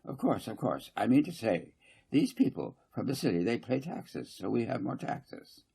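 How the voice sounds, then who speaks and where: Man performing a flustered male councillor, audiobook character, stammering, argumentative, raised pitch, raspy. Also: big pitch swings, warm tone, exaggerated voice. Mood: flustered, insistent. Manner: halting, repeats himself, blustering.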